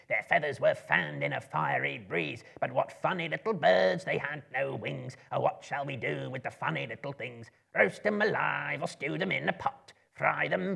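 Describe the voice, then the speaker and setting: A cruel band of goblins from a storybook. The voice is very gravelly and harsh, piercing and forceful. It chants slowly in a taunting, gleeful sing-song. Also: male voice, mid-range voice, expressive, voice pushed up, heavily acted. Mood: mocking glee.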